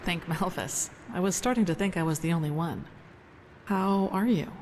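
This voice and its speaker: An actress playing an earnest, thoughtful female novice of a holy order in fantasy game dialogue, measured and reflective. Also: lowish voice, a little mellow, slight background noise, natural voice. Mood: relieved, friendly.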